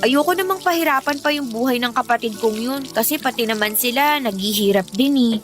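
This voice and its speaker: A woman's voice: a little high, brisk, close to an everyday voice. A woman with a Filipino accent playing a candid, hard-up eighteen-year-old girl in a radio drama, telling things in an emphatic, conversational way. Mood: resigned, doubtful. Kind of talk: Tagalog radio drama acting. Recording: music bed under the dialogue.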